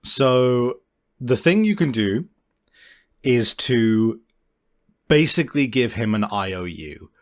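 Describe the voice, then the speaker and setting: A man acting as game master, improvising narration for a tabletop roleplaying game in an informal, halting, explanatory way. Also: mid-range voice, big pitch swings.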